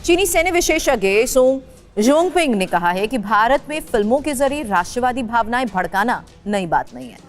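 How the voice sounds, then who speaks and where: A woman reads a scripted news report as a presenter. Her voice sits a little high, and light music plays behind it.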